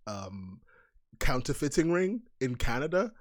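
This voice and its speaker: A man's voice: medium pitch, big pitch swings, unhurried, no room sound, clean studio sound. A man with a British-sounding accent co-hosting a casual podcast chat, joking and laughing often. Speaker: podcast co-host.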